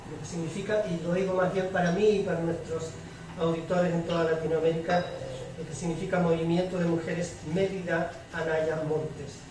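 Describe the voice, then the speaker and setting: Male panel moderator, formal and measured, high voice, heard from afar in an echoey hall. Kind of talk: moderating a public panel debate. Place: large echoey room at a public event. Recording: echoey hall, distant mic, faint noise.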